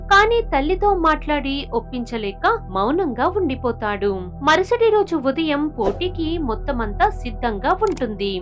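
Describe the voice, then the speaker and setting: A woman storyteller with a high voice. She narrates a scripted moral tale with very lively, swinging intonation, over light music.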